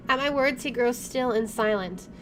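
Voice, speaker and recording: A woman's voice: a little high, normal speed. A woman hosting a visual novel playthrough, reading the narration aloud and commenting in an informal, reactive way. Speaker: gaming video host. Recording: music behind the voice. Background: game music.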